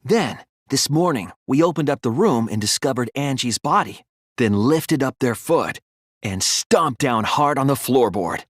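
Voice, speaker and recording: An earnest teenage boy detective from a video game. The voice is soft-edged and breathy at a medium pitch, recorded very dry. It moves expressively up and down as he lays out deductions in a deliberate, analytical way. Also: animated, no room sound. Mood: focused, explanatory. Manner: deliberate, earnest, analytical explaining with dramatic emphasis. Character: earnest, soft-spoken teenage boy detective reasoning through murder cases. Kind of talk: English video game voice acting, deductive monologue.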